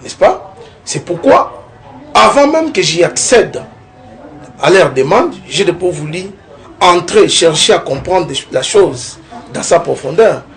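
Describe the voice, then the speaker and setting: A young man from the Kongo Central region of DR Congo, a political candidate giving a TV interview, talking in a lively way and often tagging his points with a rising question. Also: high-pitched, takes it slowly. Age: young adult. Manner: animated, keeps adding "n'est-ce pas?", rising tone on points.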